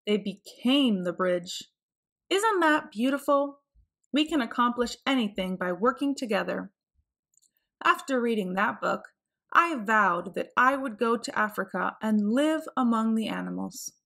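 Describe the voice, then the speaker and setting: A woman reading a picture book aloud for children, with clear, expressive phrasing. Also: fairly high pitch, measured pace. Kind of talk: read-aloud storybook narration.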